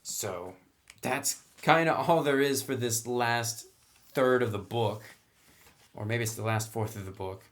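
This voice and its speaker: A man's voice: mid-range voice, a little slow. A man reviewing a game book in a casual, unscripted way, explaining things informally and conversationally.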